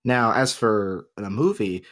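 A man's voice: on the low side, expressive, slow pace, a bit bright. A man co-hosting a casual discussion, explaining his opinions emphatically. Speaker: podcast co-host.

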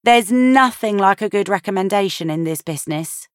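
A woman's voice: mid-range voice, lively intonation, normal speed, no echo, clean studio sound, voice pushed up, lightly acted. A woman voicing a helpful, confident female friend with a breezy, assured manner in an audiobook.

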